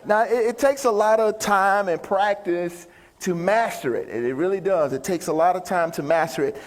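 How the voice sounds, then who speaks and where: A man's voice: high voice, normal speed. A man giving an upbeat, folksy live stage talk as a motivational speaker and musician, bantering with his audience. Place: large indoor stage venue. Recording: reverberant hall, stage talk.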